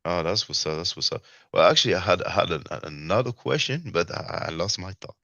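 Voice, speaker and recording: A man with a non-native English accent hosting a casual chat, asking questions in a halting way with repeated words, on a muffled mic. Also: sits low, expressive, gravelly, bright, forceful tone, no room sound. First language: not English. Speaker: host, interviewer.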